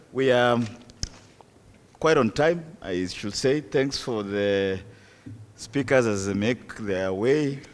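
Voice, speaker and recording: A man with a Kenyan accent chairing a conference session, hesitant with many fillers and jokey asides, on a stage microphone in a conference hall. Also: fairly low pitch. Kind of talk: conference moderation. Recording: long hall reverb.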